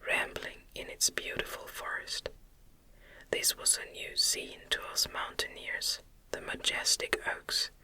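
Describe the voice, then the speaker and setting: Female ASMR reader for gothic literature: fully whispered, very crisp sibilant brightness, gentle and soothing, unhurried phrasing. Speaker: audiobook reader.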